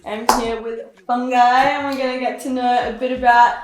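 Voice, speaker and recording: Young woman, interviewer, upbeat, slightly high voice, echoey room. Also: fairly even intonation, music in the background. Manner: upbeat, quick affirming "yeah"s. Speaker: interviewer host. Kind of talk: casual interview. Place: living-room studio set with couches.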